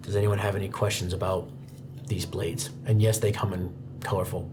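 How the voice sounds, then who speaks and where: A man's voice: low voice, flat delivery, moderate pace, pitched below the performer's own voice, close to an everyday voice. A man playing a stern, grumbling old demon slayer master in a tabletop roleplay, with music behind him. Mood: instructive.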